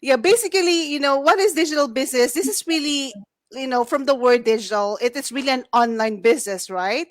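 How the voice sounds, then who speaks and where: A woman chatting casually who laughs easily and keeps tagging her points with quick questions. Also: sits high, dry recording. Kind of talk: casual conversation.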